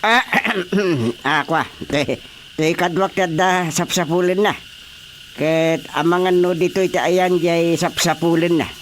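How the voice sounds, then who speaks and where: A male actor playing an excitable, exclamatory village chairman in a radio comedy drama. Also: on the high side, unhurried, close to an everyday voice. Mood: guiding. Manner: animated, exclamatory. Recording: loud chirping background noise.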